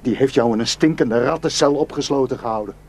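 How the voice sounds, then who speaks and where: A man's voice: medium pitch, fast, a little mellow, slight background noise, close to an everyday voice. An actor in a radio drama playing a protective, suspicious, hot-tempered village herdsman and husband, scornful and impulsive. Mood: angry, threatening.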